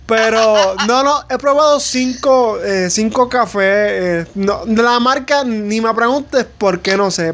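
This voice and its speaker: A man's voice: high-pitched, fairly bright tone, dull audio. A man hosting a casual interview, informal and self-deprecating, feeling his way through his questions. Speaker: interviewer, host.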